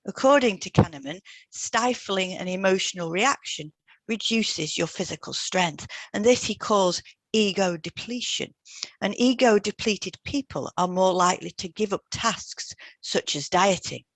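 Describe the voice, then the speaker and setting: A woman's voice: medium pitch, animated, unhurried, dry recording. A woman co-hosting an educational podcast, reading scripted material in an explanatory way. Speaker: podcast co-host and hypnotherapist.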